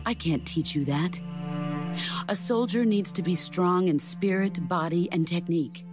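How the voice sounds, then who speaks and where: An actress playing a mature legendary soldier and mentor in a video game, calm, stern and deliberate. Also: female voice, on the low side, over music, natural voice. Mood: stern, teaching. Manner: deliberate, philosophical, commanding.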